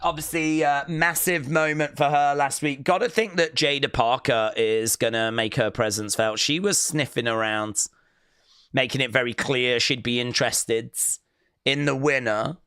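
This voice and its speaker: A man's voice: medium pitch, fairly even intonation, moderate pace, sharp tone, clean tone, no echo, studio quality. A man casually commenting on a wrestling show while streaming live and reading out chat messages. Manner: casual, chatty, many "uh" fillers. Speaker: wrestling watch-along streamer.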